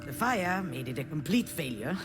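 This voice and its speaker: A woman's voice: deep voice, very animated, moderate pace, music in the background. An actress playing a frustrated, self-deprecating woman who narrates her family wounds in a confiding, bitter game monologue. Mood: regretful, confiding. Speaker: visual novel protagonist. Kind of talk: dramatic first-person game narration.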